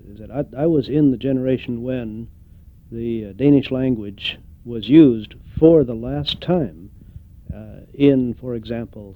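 An older man giving an oral history interview, reflective and hesitant, pausing often with fillers. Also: mid-range voice. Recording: tinny phone-like recording.

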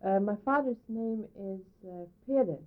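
A woman with a Norwegian accent, mid-pitched, talks about her family in an oral history interview. She restarts phrases and fills pauses with uh. The audio is narrow and lo-fi, like a phone line. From northern Norway. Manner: halting, many restarts and uh. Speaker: interviewee, teacher. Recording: narrow phone-like old tape.

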